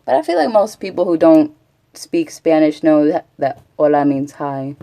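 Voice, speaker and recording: A woman's voice: sits low, lively intonation, normal speed, mellow, no room sound. A chatty woman from Texas, rambling casually as a live streamer and answering her chat. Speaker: Twitch streamer.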